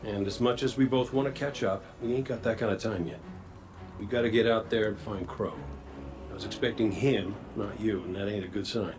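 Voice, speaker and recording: An actor playing a wry, easygoing resurrected robot gunslinger in a video game cutscene, talking in a folksy, colloquial way. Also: male voice, fairly low pitch, fairly even intonation, a little fast, lightly acted. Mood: warm, practical. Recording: music behind the voice.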